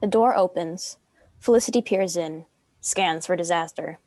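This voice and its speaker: A woman's voice: fairly low pitch, normal speed, a little mellow, dry recording. A woman reading stage directions as scripted narration for a dramatic script reading.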